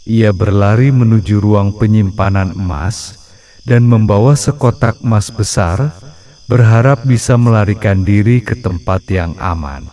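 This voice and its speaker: Slow-paced, mellow male storyteller. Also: lowish voice. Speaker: storyteller narrator. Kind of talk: scripted folktale narration.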